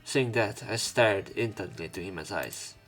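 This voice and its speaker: Low-voiced man reading a visual novel. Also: unhurried, a bit bright. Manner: stumbles over words and corrects himself. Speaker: let's play host reading and narrating. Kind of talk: visual novel read-aloud with casual commentary. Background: game music behind the voice.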